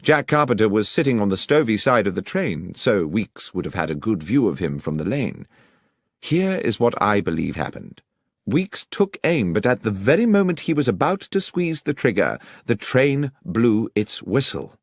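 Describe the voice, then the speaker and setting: Audiobook detective, man performing: brisk, commanding, explains with precision, lively intonation. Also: on the low side, no echo, studio quality. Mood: assured, explanatory. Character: brisk, assured male detective explaining his deductions. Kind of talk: audiobook character dialogue.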